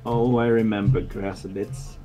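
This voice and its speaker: Playful young man with a mellow voice. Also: lowish voice, fairly even intonation, moderate pace, faint music behind, poor audio. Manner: playful, teasing, jokey rambling. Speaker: game dev streamer. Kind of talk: joking casual chat.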